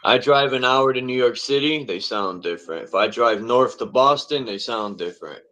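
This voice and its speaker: A man from Connecticut hosting a casual livestream panel, talking loosely with halting restarts. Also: medium pitch, animated, pushed and bright. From Connecticut, USA.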